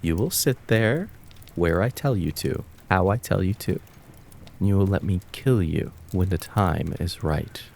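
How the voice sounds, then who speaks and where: Slow, very deep, menacing fox spirit, acted by a man. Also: vocal fry, a little mellow, a little hiss or hum. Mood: cruel, commanding. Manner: slow, menacing, mocking, with drawn-out words. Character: cruel, taunting kitsune fox spirit of the woods. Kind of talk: ASMR roleplay acting.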